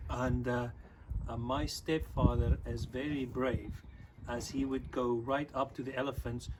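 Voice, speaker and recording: A man whose first language is Afrikaans, from South Africa, chatting casually to a youth club and teaching words slowly. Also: medium pitch, noisy background. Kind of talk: informal online talk to children.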